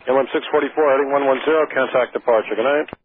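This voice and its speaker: A man's voice: mid-range voice, steady tone, fast. A man working as an air traffic controller, giving clipped procedural instructions over a radio. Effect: radio transmission. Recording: narrowband radio audio with faint static.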